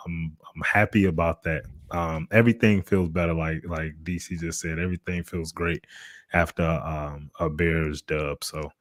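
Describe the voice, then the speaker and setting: A man's voice: low voice, no room sound. A man co-hosting a sports podcast, talking casually in slangy, buddy-like phrases.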